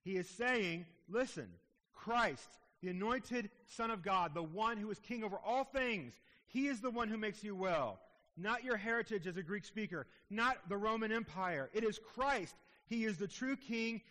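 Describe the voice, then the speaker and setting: A man's voice: high-pitched, animated, normal speed, bright, forceful tone, in a large hall, rough recording. A man preaching a sermon, explaining a scripture passage in a teaching manner with frequent asides to the listeners. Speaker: pastor.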